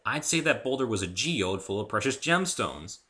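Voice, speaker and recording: A man reads as a polite, curious male visitor in a storybook tale. His low voice is casual and slightly hesitant, with lively inflection. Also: moderate pace.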